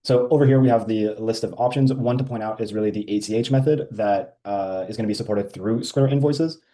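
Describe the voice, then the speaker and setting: Male demo presenter, low and very mellow, informal explanatory walkthrough delivery. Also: a little fast. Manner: explanatory, step by step. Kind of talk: informal walkthrough of a software screen.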